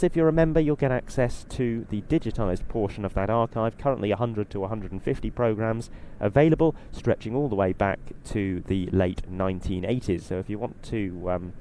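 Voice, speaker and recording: A man giving live airshow commentary in an explanatory, ad-libbed way, his voice slightly husky from being short on sleep. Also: low-pitched, darkish tone, a little hiss or hum.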